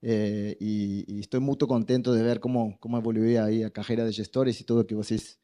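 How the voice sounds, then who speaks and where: A man's voice: on the low side, little pitch movement, a little fast, dark tone. A middle-aged man from Argentina, a native Spanish speaker with an Argentine accent in Portuguese, addressing an event informally and hesitantly as an invited specialist. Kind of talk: informal speech to an event audience.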